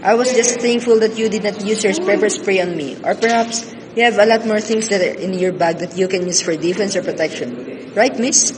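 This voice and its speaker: A friendly teenage boy played by a man in a radio drama. He speaks politely and calmingly. The sound is reverberant, with clear noise behind him. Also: high voice, fairly quick, lightly acted. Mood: calm reassurance. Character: friendly, reassuring teenage boy offering help. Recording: noisy recording with hall reverb.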